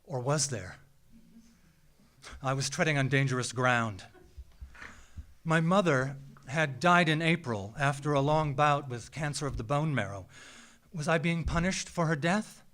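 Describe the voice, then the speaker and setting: American guy reading his memoir onstage, voice a bit high, deliberate and theatrical w/ big pauses. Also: expressive, a bit bright. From small town in Minnesota, United States. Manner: theatrical, measured delivery with dramatic pauses and emphatic repetition. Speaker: writer reading a personal essay. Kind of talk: scripted memoir read live on stage. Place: small theatre, live event.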